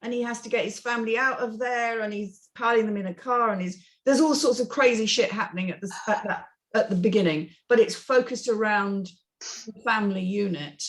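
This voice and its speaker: A woman's voice: on the high side, fairly quick, low-quality recording. Opinionated woman giving blunt critique. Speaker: script reading panelist. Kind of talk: unscripted critique in a panel discussion.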